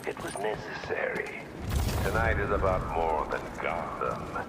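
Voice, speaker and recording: Echoing, very deep menacing villain. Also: male voice, lively intonation. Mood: cold, menacing. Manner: measured, ominous, grandiose. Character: cold, menacing male mastermind villain preaching doom. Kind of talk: video game cutscene acting. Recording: echoing distant broadcast over loud noise.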